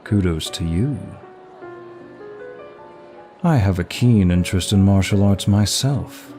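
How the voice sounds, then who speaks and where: Man acting a deep, unhurried, seductive demon gentleman. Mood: curious, flirtatious. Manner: smooth, flirtatious, formal, unhurried. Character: suave, seductive male demon of lust, courtly flatterer. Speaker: audio roleplay voice actor. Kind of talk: intimate ASMR-style roleplay, one side of a dialogue. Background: music.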